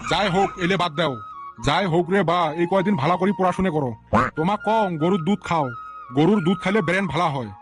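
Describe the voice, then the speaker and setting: A man's voice: high-pitched, quick pace, lightly acted. A man voicing an exasperated village schoolteacher in a comedy cartoon, scolding and pleading with his unruly pupils. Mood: wheedling. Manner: theatrical scolding, wheedling, lamenting. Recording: loud music under the voice. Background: music.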